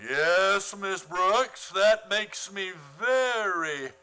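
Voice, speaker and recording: Man acting pompous, high-pitched, blustering principal. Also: lively intonation, very slow, bright, forceful tone, clean tone, exaggerated voice. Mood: smugly menacing. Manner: pompous, imperious, formal diction. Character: pompous, blustering, tyrannical male school principal. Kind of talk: old-time radio comedy acting. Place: theater stage, radio play performance.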